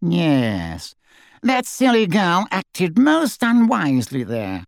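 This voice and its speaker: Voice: mid-range voice, huge pitch swings, slow, creaky, no room sound, clean studio sound, pitched above the performer's own voice, exaggerated voice. A performer voicing a cold, haughty old wizard in a portrait, with pompous, sneering, old-fashioned speech, in an audiobook. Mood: sly.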